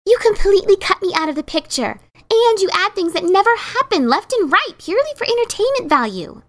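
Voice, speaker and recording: An exasperated pegasus mare voiced by a woman in a storybook reading. Her voice is high and swoops with emphatic, scolding sarcasm. She is indignant and quick to take offense. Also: sing-song, a bit bright, heavily acted. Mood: bitter, scornful. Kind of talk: dramatic reading of a fan story.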